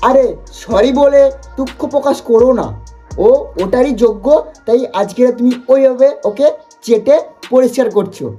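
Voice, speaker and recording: A person hosting a comic roast in a mocking, exaggerated, excitable way. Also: medium pitch, moderate pace. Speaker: prank video host and roaster. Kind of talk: teasing comic roast commentary. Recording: music behind the voice. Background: music.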